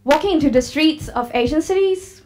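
A young woman gives an upbeat pitch presentation on a conference stage, putting questions to the audience through a handheld microphone. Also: lively intonation, moderate pace, darkish tone, soft background music. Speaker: startup presenter. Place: large conference hall on stage.

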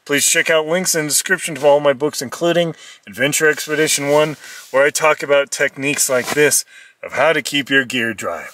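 A middle-aged man, an explorer and adventurer, giving a lively, playful how-to talk from inside a small tent, filmed on a phone at arm's length. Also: fairly high pitch, distant mic, slight background noise. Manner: lively, playful, mock-dramatic asides. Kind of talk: casual how-to vlog. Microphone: phone camera held at arm's length.

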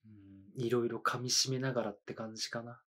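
A man's voice: medium pitch, steady tone, a little fast, bright, forceful tone, husky. A man in his thirties hosting an anime reaction video, musing aloud with short reactions and laughs in a small indoor studio. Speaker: anime reaction channel host. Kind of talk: casual reaction commentary.

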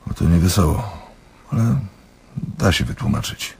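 An actor playing a coolly controlling, diabolical doctor in a Polish radio play, with a raspy voice and curt, deliberate phrasing. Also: male voice, low voice, expressive, a little mellow, exaggerated voice. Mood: coolly dismissive. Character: domineering, diabolical male doctor, coolly controlling his patient. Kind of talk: radio drama acting.

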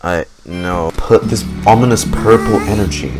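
A young man giving casual reaction commentary on a game, halting and full of drawn-out exclamations, on a desk microphone. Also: on the low side. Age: young adult. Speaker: gaming streamer. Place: small indoor room. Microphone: desk microphone on a boom arm. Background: game music.

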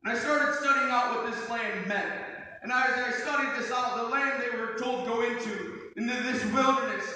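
A young American man delivers an emphatic Pentecostal sermon in a very high, forceful voice, driving points home by repeating phrases. He speaks over a PA in a church hall, caught by a distant camera with heavy echo and long reverb. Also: normal speed. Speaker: pastor, guest preacher. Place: church sanctuary stage, indoors. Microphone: handheld mic through PA, recorded by far camera.

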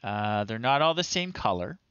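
A man's voice: mid-range voice, animated, normal speed, a bit bright, clean tone, dry recording, dull audio. A middle-aged man casually commentating a live game stream, reading screen text aloud in a wry, off-the-cuff way, close on the mic. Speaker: retro game streamer, host. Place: small indoor room, livestream setup.

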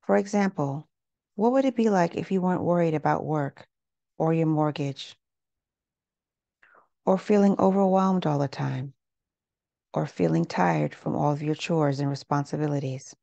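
A woman leading a guided meditation in a gentle, unhurried, measured way, leaving pauses between phrases. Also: sits low, gravelly, no echo, highs cut off. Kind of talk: guided meditation, scripted narration.

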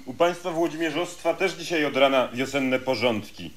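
An actor playing the cheeky, matter-of-fact young son of a family in a radio comedy. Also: male voice, mid-range voice, moderate pace, close to an everyday voice. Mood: reporting.

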